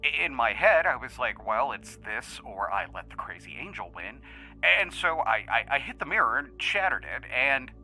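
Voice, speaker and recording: A man playing a bright, animated, rambling wisecracker. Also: medium pitch, normal speed, soft background music, exaggerated voice, rough recording. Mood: sheepish. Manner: animated, hesitant rambling storytelling. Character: brash, jokey male magical performer, casual and rambling under interview. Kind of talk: conversational audio drama acting.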